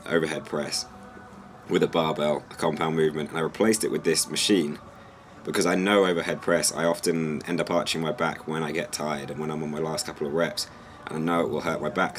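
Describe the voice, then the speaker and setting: Super deep guy, hoarse and losing his voice, chill rambly vlog talk, bit of faint background noise. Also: a little fast. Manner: explanatory, relaxed, slightly rambling. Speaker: fitness vlogger. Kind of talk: casual vlog narration.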